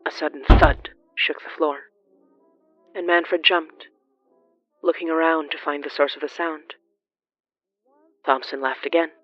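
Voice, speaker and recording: A narrator reading scripted horror fiction in a dramatic, deliberate storytelling manner. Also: mid-range voice, fairly even intonation, no room sound, highs cut off, soft background music.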